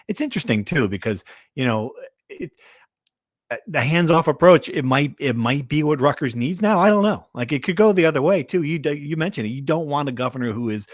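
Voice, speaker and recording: A man's voice: on the high side, expressive, fairly quick, dry recording. Male sports podcast host in a dry-sounding room. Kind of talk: casual sports talk.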